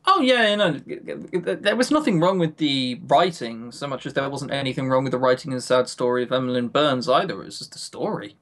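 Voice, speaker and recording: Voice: medium pitch, lively intonation, fairly quick, hardly any pauses. Casual podcast co-host, mid-range voice, dry sarcasm, talking over an online call. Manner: wry, sarcastic, swears casually. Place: remote voice call.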